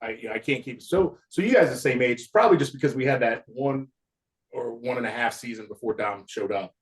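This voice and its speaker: A man's voice: medium pitch, brisk, a crowd in the background, poor audio. A man in his fifties, a former football player, casually reminiscing with old teammates in a rambling way, often laughing. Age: 50s.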